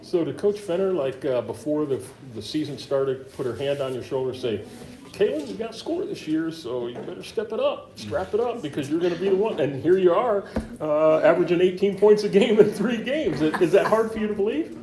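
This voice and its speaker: A man's voice: on the high side, expressive, a little fast, warm tone. A middle-aged man hosting a local sports interview show, asking long, rambling questions with lots of fillers. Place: high school gym. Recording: echoey gym with crowd noise.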